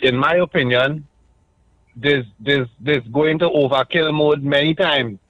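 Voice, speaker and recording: Man, trinidadian accent, radio caller, measured argument, muffled dry line. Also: a little high, steady tone, no echo. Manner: measured, argues point by point with frequent "right?". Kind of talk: call-in radio talk.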